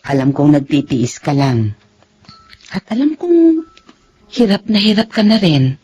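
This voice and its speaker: A woman's voice: low-pitched, sing-song, measured pace, warm tone, slightly rough, highs cut off, soft background music, exaggerated voice. A woman playing a caring, encouraging college dean in a Filipino radio drama, speaking with a Filipino accent in a motherly way. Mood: sympathetic, concerned.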